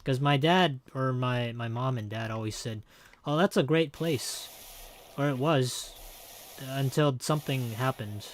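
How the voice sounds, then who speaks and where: A man's voice: mid-range voice, a little slow, a little hiss or hum. Rambling young American man. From born in Hong Kong, raised in the United States. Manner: rambling, many fillers and restarts. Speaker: streamer. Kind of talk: casual chat while gaming.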